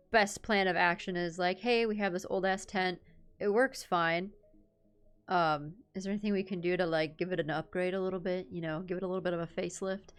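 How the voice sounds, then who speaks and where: A woman's voice: on the low side, smooth. A woman in her twenties chatting casually with her live chat, rambling and laughing often. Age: 20s. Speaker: streamer.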